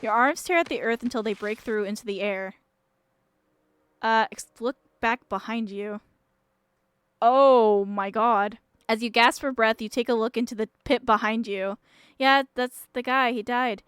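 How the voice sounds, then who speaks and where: High-voiced woman streaming a game. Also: a little slow, fairly bright tone, clear, resonant tone, dry recording. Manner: blunt, jokey, swearing. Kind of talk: casual playthrough commentary with read-aloud narration.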